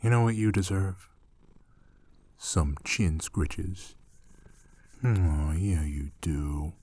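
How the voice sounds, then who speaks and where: A young man acting as a gentle, teasing roommate in an intimate ASMR roleplay, speaking soothingly and coaxingly, close and soft. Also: low voice, drawn-out words, exaggerated voice. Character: gentle, teasing male roommate soothing a shy catgirl-like companion.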